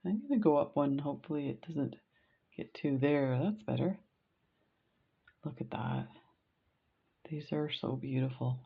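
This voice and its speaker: A middle-aged Canadian woman talks slowly through a bead haul, chatty and gushing, full of little fillers. Her voice sits low, and the home recording sounds dull and lo-fi. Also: gravelly, slightly rough. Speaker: beading vlogger. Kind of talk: casual unboxing chat.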